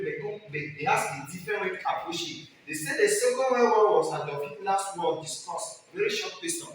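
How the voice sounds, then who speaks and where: A very echoey, slightly distant recording of a man lecturing like a history teacher. His voice is bright and forceful, delivered in a didactic style with emphatic repetition of key phrases. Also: on the high side. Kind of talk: exam-preparation lecture. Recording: very echoey room, distant mic, faint noise.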